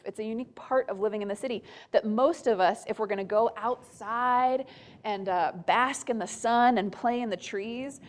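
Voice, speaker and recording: A woman giving a conversational sermon to her church, talking in a chatty way with frequent "um"s, "right?" tags and soft laughs. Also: fairly high pitch. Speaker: preacher.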